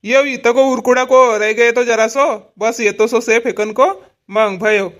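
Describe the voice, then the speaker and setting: A man's voice: very high voice, steady tone, a little slow, fairly bright tone. A man voicing a modest, worrying village farmer and father in a cartoon comedy, rambling and folksy, with a Powari accent.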